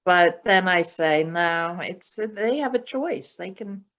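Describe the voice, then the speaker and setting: A woman chatting and telling personal stories in a slow, deliberate way over a video call. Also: medium pitch, animated, a bit bright. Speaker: podcast guest. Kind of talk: casual conversational storytelling. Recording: dull, lo-fi video call audio.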